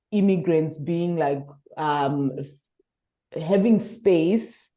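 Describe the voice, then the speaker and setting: A woman's voice: fairly low pitch, mellow. A woman with a Southern African accent interviewing in a conversational, enthusiastic and affirming way. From South Africa, born in Zimbabwe. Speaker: interviewer, researcher.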